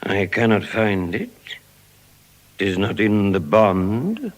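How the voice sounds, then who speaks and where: An actor playing a vengeful, gloating moneylender in classical Shakespearean style, savoring each phrase theatrically. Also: male voice, fairly low pitch, big pitch swings, slow pace. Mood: coldly gloating. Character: vengeful, gloating male moneylender demanding his bond. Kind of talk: classical Shakespearean stage acting.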